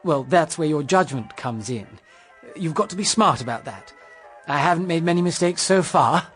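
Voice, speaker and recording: A man's voice: on the high side, expressive, normal speed, a bit bright, soft background music. An actor playing an earnest, self-deprecating, lovestruck man in a period radio drama, speaking a little hesitantly. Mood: modest, genial.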